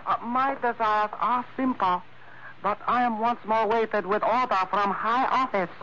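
High-voiced, flowery radio henchman. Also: unhurried, sharp tone. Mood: smooth, polite menace. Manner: obsequious, ornate broken English. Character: smiling, sly, flowery-spoken Chinese henchman with a gun. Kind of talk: 1940s radio adventure acting. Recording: noisy, narrow vintage radio recording.

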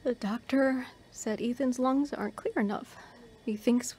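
A woman acting a tender, heartbroken young mother in an audio drama, halting and emotional. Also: fairly high pitch, steady tone, dark tone, husky. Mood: worried.

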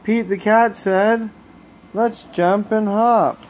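Slow, high-voiced man reading a picture book. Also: fairly even intonation, darkish tone. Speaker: storyteller reading aloud to children. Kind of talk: bedtime picture-book read-aloud. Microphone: handheld phone camera.

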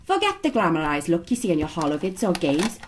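An actress playing a plucky, practical, sharp-tongued female archaeologist in an audio drama, teasing and matter-of-fact. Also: fairly low pitch, wildly swooping pitch, fast talker, slight background noise.

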